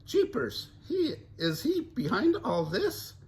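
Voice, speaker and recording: A man's voice: very high-pitched, huge pitch swings, slow pace, a little mellow, pitched above the performer's own voice, exaggerated voice. A man doing Daphne, a stylish young sleuth. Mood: worried.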